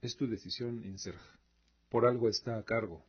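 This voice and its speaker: A man's voice: lowish voice, fairly even intonation, normal speed, husky. A man playing a war-weary, pragmatic old emperor in a scripted audio drama. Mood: weary, measured. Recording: dull lo-fi recording.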